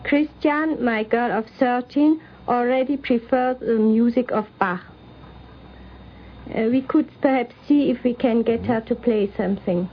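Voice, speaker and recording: High-voiced middle-aged woman, old radio recording. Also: measured pace, a little hiss or hum. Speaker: interviewee, daughter of the programme's subject. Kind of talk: prepared personal account for radio. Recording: dull 1950s radio recording.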